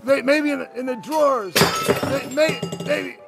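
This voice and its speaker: Slow-talking, smug government agent. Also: male voice, extremely high pitch, gravelly, heavily acted. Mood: panicked. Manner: flirtatious, hesitant and stammering. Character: smug adulterous male CIA agent, boastful, evasive. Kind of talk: radio play acting. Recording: radio drama with music underneath.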